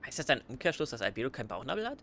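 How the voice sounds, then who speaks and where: A man's voice: mid-range voice, very animated, very fast, pushed and bright, a little hiss or hum. A man giving casual let's play commentary as he reacts to a video game. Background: faint game music.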